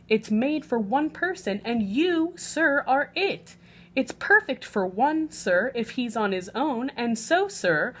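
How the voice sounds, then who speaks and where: A woman voicing a prim, fussy female cat from a children's rhyming storybook, with theatrical, drawn-out emphasis. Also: fairly high pitch, slightly rough, heavily acted. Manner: exclaiming, drawn-out emphatic repetition.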